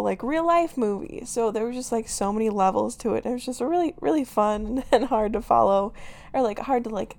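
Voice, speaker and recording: Chatty young woman with a gravelly voice. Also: a little high, a little mellow. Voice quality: raspy today. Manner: chatty, giggly, lots of "like" fillers. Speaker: podcast host. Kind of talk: casual solo podcast chat.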